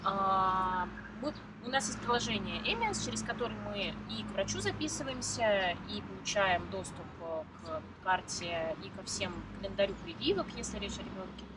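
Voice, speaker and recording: A woman from Moscow, an interview guest, explains things in a measured way while outdoors. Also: medium pitch, lively intonation. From Moscow, Russia. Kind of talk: explanatory conversational answers. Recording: distant mic with loud traffic noise.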